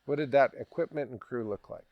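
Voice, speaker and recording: A man's voice: mid-range voice, fairly quick, dry recording, dull audio. A middle-aged man hosting a podcast, encouraging his guest and summing up his points. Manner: affirming, frequent backchannel murmurs. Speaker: podcast host. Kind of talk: interviewer, encouraging.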